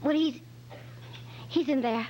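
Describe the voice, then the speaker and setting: A woman acting as a nervous female secretary in a radio mystery. She is hesitant and flustered, in a fairly high voice, on a dull recording with faint hum. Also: slow pace. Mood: agitated. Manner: hesitant, stammering, anxious. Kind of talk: amateur recreation of old-time radio detective drama.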